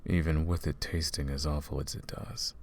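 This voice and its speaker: A man voicing a brooding male vampire who hunts vampires, soft-spoken and calm in an ASMR roleplay. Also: a bass voice, normal speed, gravelly, heavily acted. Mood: weary.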